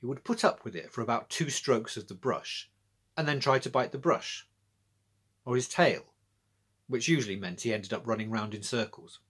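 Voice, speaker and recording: A man's voice: mid-range voice, moderate pace, fairly bright tone, breathy edge. A middle-aged British man narrating a children's story as a storyteller. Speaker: author and storyteller. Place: small indoor room with bookshelves.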